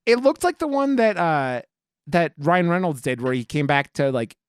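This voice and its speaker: A man's voice: a little high, sing-song, normal speed, clear, resonant tone, no echo, clean studio sound. A man chatting casually as a podcast co-host, with a crude, joking, rambling manner. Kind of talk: casual comedic podcast chat.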